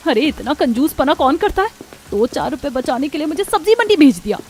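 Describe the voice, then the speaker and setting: A young cartoon housewife, acted by a woman, high in pitch and full of grievance. She is a put-upon daughter-in-law who complains in an exasperated way. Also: very animated, brisk, a little mellow. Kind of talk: Hindi family cartoon dubbing.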